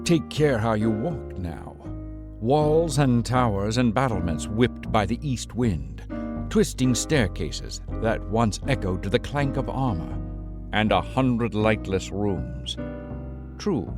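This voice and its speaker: A brooding storyteller, played by a man, narrates an old-time radio mystery in a low voice. The delivery is ominous and theatrical, with guitar music clearly playing underneath. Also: full character voice. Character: brooding storyteller guiding listeners through a gloomy castle.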